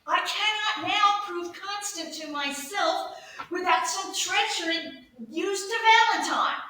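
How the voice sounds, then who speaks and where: A conflicted young gentleman lover acted by a woman declaims a treacherous Shakespearean soliloquy. The delivery is slow and deliberate, heard far from the mic in a very echoey hall with faint noise. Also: sits high, exaggerated voice. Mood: scheming. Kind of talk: Shakespeare soliloquy, stage acting. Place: indoor staged reading, recorded from audience distance.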